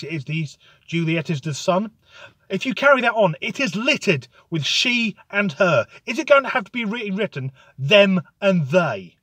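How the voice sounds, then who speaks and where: Male comic ranter, sarcastic and deadpan, slightly high voice, dry close sound. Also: no echo. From United Kingdom. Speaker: comedic ranter. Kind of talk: sardonic comic monologue.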